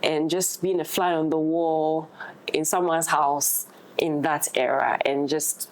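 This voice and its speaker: A woman talking casually as an actress in a roundtable discussion, halting and repeating words for emphasis. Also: sits low, fairly even intonation, rough recording. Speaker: actress in an audio drama.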